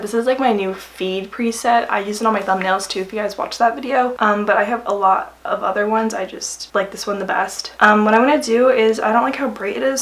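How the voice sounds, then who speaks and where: A woman's voice: medium pitch, fairly bright tone, low-quality recording. A teenage young woman hosts a casual how-to. She speaks in a mid-range voice, walking through each step informally. Her talk is chatty and peppered with filler words like a peer explaining something to friends. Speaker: host of a photo editing tutorial. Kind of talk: casual step-by-step screen tutorial.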